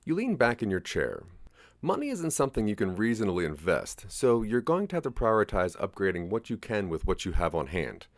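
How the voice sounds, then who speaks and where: A man's voice: fairly low pitch, wildly swooping pitch, fairly quick. A man narrating a scripted interactive audio drama in the second person.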